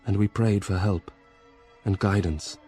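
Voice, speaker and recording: A man's voice: lowish voice, fairly even intonation, measured pace, mellow, soft background music. A man narrating a gothic story as a scripted dramatic reading.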